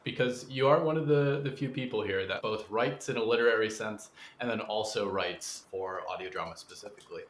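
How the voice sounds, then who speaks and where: Echoey, low-voiced male interviewer. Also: brisk, hardly any pauses, a little hiss or hum. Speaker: podcast interviewer, host. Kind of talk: conversational interviewing. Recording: roomy echo with faint background ambience.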